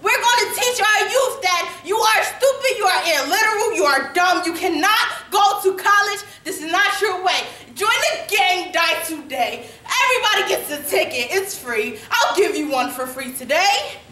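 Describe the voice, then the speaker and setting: A woman voicing a gloating, mocking hate-group member with taunting, theatrical, drawn-out sarcasm in a stage poem. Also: very high-pitched, lively intonation. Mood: sneering. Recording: roomy live recording, distant mic, faint noise.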